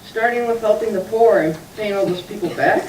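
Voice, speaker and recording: A woman's voice: medium pitch, brisk, darkish tone, slight background noise, lightly acted. A woman voicing Scooter, a short young boy puppet who feels sorry for what he has done, asking eager, wide-eyed questions in a church puppet show. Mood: amazed. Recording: echoey room, distant mic.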